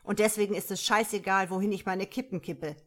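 A woman voicing a surly, cocky male cop with a gruff, vulgar, dismissive manner in an audiobook. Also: mid-range voice, steady tone, a little fast, a bit bright, natural voice. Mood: defiant, crude.

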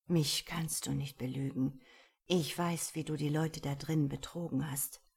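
A woman voicing a commanding, possessive and self-assured male seducer in a romance audiobook, low, hushed and menacing. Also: steady tone, measured pace, gravelly, slightly rough, exaggerated voice. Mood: insistent, threatening. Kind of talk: romance audiobook character dialogue.

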